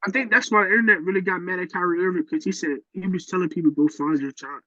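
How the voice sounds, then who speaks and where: Podcast co-host, man, high and bright voice, slangy and emphatic, dull lo-fi recording. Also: steady tone, fast talker, no echo. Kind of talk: casual opinion chat. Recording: dull lo-fi sound.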